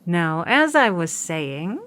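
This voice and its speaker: A woman's voice: lowish voice, very animated, slow, a bit bright, exaggerated voice. A woman playing a stern, sceptical schoolteacher in an audio drama, dry and unimpressed.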